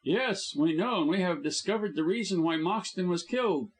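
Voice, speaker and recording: A man reading an audiobook, voicing a calm, measured male doctor. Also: high-pitched, voice pushed up.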